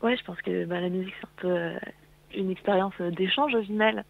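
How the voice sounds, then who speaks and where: Phone-quality female voice, early twenties, medium pitch, casual, hesitant guest. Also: fairly even intonation, a little fast, gravelly, no echo. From Paris, France. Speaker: singer, interview guest. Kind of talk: casual interview chat. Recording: tinny narrowband phone line.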